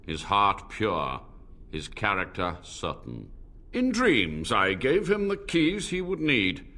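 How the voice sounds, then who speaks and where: A man's voice: lowish voice, huge pitch swings, creaky, sharp tone, heavily acted. An actor playing an ancient, decaying male blood mage who speaks in a grandiose, theatrical way. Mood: solemn. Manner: grandiose, theatrical, slow, with a mocking laugh. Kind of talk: game character dialogue.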